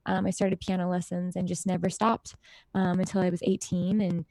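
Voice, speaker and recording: A woman's voice: lowish voice, level tone, normal speed, dark tone, no room sound. An American woman chatting casually and informally as an interview guest, telling personal stories in a friendly way. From United States, raised in Idaho and Utah. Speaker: interview guest, singer-songwriter. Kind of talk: casual chat, personal storytelling.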